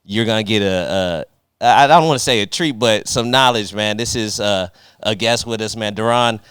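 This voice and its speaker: A man with a low, smooth voice hosts a casual podcast interview in a close, dry sound. He talks in a slangy, conversational way, rephrasing his questions as he goes. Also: moderate pace, a bit bright, no echo. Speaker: podcast host. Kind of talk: casual interview with joking asides.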